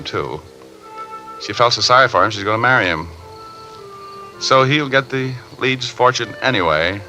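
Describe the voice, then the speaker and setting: An actor playing a wry, world-weary American detective recounting a case in a 1950s radio drama. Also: male voice, lowish voice, bright, forceful tone, exaggerated voice, low-quality recording. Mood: wistful, wry. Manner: wry, conversational, rueful.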